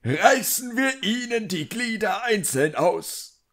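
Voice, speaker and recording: Casting: pompous, irate middle-aged wizard bureaucrat for an audiobook, raised pitch, barking contempt, performed by a male narrator. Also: big pitch swings, takes it slowly, a bit bright, full character voice. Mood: threatening.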